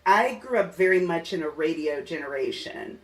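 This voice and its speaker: A man's voice: a little high, lively intonation, moderate pace. A middle-aged man hosting a casual podcast interview, musing in a wordy way and building his points in loose steps. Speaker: podcast host interviewing a musician. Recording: roomy, distant mic, faint music.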